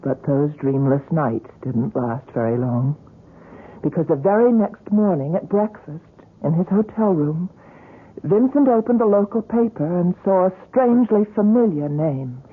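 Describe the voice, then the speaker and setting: A woman's voice: lowish voice, lively intonation, measured pace, slightly rough, phone-quality sound. An actress playing a devoted, encouraging woman who works at a gallery, in old radio drama style. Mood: reflective narrating. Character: devoted, encouraging woman gallery worker recounting her painter friend's story. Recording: thin band-limited old radio broadcast.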